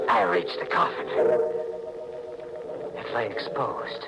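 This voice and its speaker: An actor playing a haunted, intense husband drawn toward murder, narrating a 1940s radio thriller in a dramatic, brooding, halting way. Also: male voice, mid-range voice, close to an everyday voice, rough recording. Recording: old 1940s radio recording with music.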